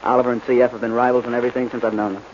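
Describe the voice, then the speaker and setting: An actor playing an easygoing, affectionate American husband in a 1940s radio drama. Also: male voice, medium pitch, little pitch movement, racing, darkish tone, lightly acted. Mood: affectionate, jaunty. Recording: muffled 1940s radio recording, faint noise.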